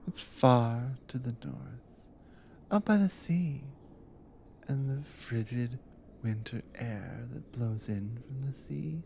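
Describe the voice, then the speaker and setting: Woman acting a sleepy devoted nordic adventurer wife, asmr roleplay, slow n mellow, breathy half whispers, bit of background noise. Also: extremely low pitch, exaggerated voice. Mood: gentle concern. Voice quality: breathy, often slipping into whisper. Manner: slow, soft, tender with pauses.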